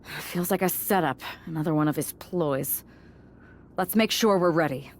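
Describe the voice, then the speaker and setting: A woman's voice: on the low side, moderate pace, lightly acted. An actress playing a shrewd, wary female wrestler co-leading a team in a video game cutscene, cool and calculating.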